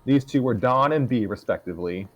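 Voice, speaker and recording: A man's voice: mid-range voice, lively intonation, a little fast. A man narrating a story aloud informally, as the reader of a casual group session.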